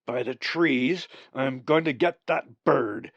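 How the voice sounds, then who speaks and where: A man's voice: mid-range voice, slow, no echo, lo-fi sound, pitched above the performer's own voice. A man voicing a hot-tempered male raven in a storybook, blustering and stammering with rage. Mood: furious.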